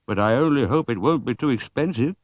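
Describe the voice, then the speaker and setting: A British man voicing an excitable, sentimental gentleman in a storybook, speaking emphatically. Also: mid-range voice, a little fast, dry recording, dull audio, lightly acted. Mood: wistful. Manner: emphatic, exclamatory. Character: excitable, sentimental gentleman who loves old cars.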